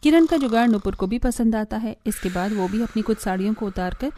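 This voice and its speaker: A woman's voice: on the high side, a little fast, dark tone, slight background noise. A woman reading scripted narration for a cartoon family story, as the storyteller.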